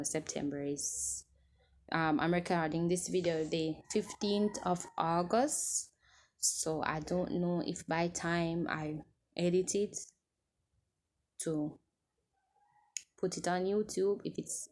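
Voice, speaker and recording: A woman's voice: deep voice, slight background noise. A woman in her twenties chatting casually to camera as she answers viewer questions, rambling and restarting as she loses her train of thought. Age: 20s.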